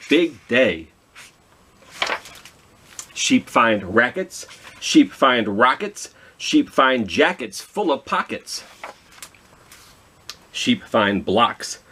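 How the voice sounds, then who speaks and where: A man's voice: fairly low pitch, a bit bright, a little hiss or hum. A man hosting a children's storytime, reading aloud with chatty asides and questions to his listeners. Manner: chatty, self-talking, asks listeners questions. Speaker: children's library storytime host.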